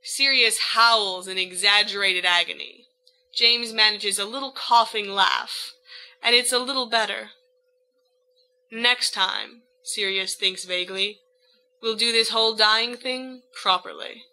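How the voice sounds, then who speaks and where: A woman's voice: mid-range voice, fairly even intonation, measured pace, bright, forceful tone, poor audio. A woman narrating fiction as an audiobook reader, voicing the dialogue as she goes.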